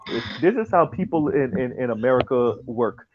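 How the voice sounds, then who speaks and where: A man's voice: medium pitch, lively intonation, moderate pace, crowd noise. Man on a dull lo-fi line. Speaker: podcast guest. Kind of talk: casual chat. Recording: dull lo-fi recording.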